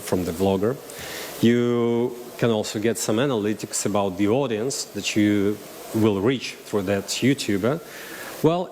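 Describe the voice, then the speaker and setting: A man with a Russian accent giving a conference talk, halting with many fillers and restarts. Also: lowish voice, a little mellow. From Belarus. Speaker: conference speaker. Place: conference hall. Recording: hall reverb, faint hiss.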